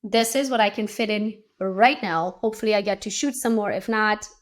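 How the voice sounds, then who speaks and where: Hoarse woman teaching social media. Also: medium pitch, normal speed. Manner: practical, chatty, asks "right?" often. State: hoarse, losing her voice. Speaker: social media instructor and show host. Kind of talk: casual teaching talk.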